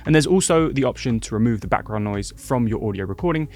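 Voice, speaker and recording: A man giving clear, brisk instructions as a software tutorial host. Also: mid-range voice, animated. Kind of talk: scripted tutorial narration.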